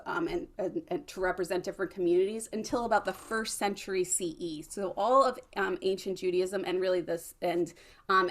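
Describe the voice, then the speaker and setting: A woman speaking as an academic panelist, explaining earnestly and informally, often checking in with the listener. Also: mid-range voice. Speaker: academic panelist, religion lecturer.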